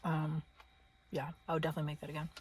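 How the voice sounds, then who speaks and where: A woman's voice: deep voice, flat delivery, racing, a little mellow, a little hiss or hum. A woman chatting casually and informally to viewers as the host of a travel vlog, upbeat and chatty. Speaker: travel vlogger, channel host.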